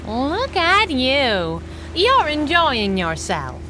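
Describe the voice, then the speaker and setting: A high-voiced, wisecracking masked heroine. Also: female voice, huge pitch swings, very slow, bright, forceful tone, faint music behind, exaggerated voice. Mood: wry, teasing. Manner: wry, teasing, snappy. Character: fearless wisecracking female masked adventurer, teasing, loyal wife. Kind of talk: 1930s pulp radio-style drama acting.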